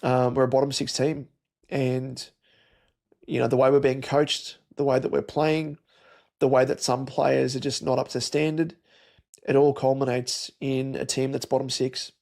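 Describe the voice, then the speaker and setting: An Australian man with a croaky voice, hosting a fan show and giving casual, rambling post-match commentary with hesitant pauses and repeated phrases. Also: medium pitch, level tone, darkish tone. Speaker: host of a football fan show.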